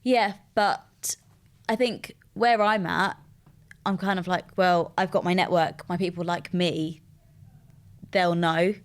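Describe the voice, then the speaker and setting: A young woman from the UK with a fairly low voice chats easily on a podcast, leaning on "like" and "you know" as she thinks aloud. Her talk is loose and friendly, the way people talk in a relaxed interview. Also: slow pace. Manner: chatty, many "like" and "you know" fillers. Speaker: recruitment firm co-founder, podcast guest. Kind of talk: casual interview chat.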